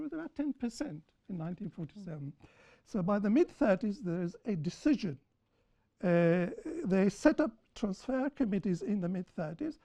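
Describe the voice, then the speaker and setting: Mellow-voiced woman lecturing on a panel. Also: mid-range voice, vocal fry, husky. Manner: deliberate, lecturing, repeats points for emphasis. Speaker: panel speaker and author. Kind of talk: panel discussion after a film screening. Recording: dull lo-fi recording.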